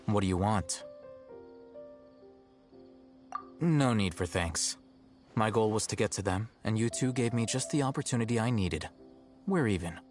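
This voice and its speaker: Male actor as a detached scholar who talks down calmly in a low voice. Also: moderate pace, lightly acted. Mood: dismissive. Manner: calm, precise, coolly condescending. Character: cool, aloof young male scholar. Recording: game audio with faint background music.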